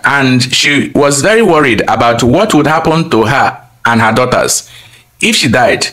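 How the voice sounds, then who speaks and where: A man telling a scripted folktale as a storyteller, in a simple, deliberate manner. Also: medium pitch, fairly bright tone, poor audio.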